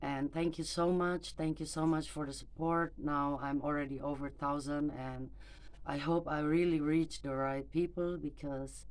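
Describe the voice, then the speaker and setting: A woman's voice: sits low, flat delivery, a little slow, rough recording. A woman in her forties who is not a native English speaker, talking hesitantly with many fillers into her phone camera for a personal vlog. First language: neither English nor German. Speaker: mother vlogger.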